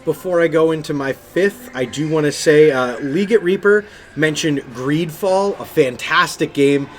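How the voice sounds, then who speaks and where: A man co-hosting a gaming podcast, talking casually and with animated enthusiasm about games. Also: on the high side, moderate pace, faint music behind. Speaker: podcast co-host.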